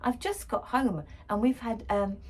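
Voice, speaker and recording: A woman's voice: fairly high pitch, unhurried, darkish tone, voice pushed up. A woman voicing a tired father who grumbles, complains and pleads in a children's story. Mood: weary pleading. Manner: muttering, weary.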